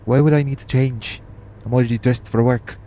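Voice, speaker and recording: A man's voice: mid-range voice, steady tone, a little fast, lightly acted. A man playing a brash, foul-mouthed, cocky assassin in an audio roleplay. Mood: cocky, teasing. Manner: brash, sweary. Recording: clear vehicle noise behind voice. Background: vehicle ride ambience.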